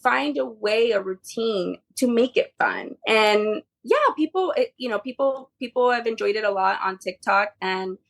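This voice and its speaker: A woman with a fairly high, creaky voice speaks casually as an interview guest. Spanish is her first language, and she explains things in an informal, animated way. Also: normal speed, hardly any pauses. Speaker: podcast guest, behavior therapy tutor.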